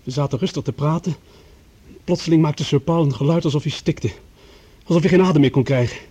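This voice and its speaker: A frightened young man in a radio play, with a fairly high, soft-edged voice that is slightly husky. He is agitated and defensive, stammering and pleading his innocence. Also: brisk. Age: young adult. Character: panicked, defensive young former lab assistant accused of murder. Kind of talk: radio drama acting.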